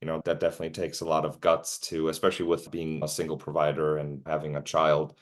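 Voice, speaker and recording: A man with a very deep voice hosts a podcast interview. He asks his questions in a friendly, encouraging way and lets his guest talk. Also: completely flat delivery, quick pace. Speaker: podcast host.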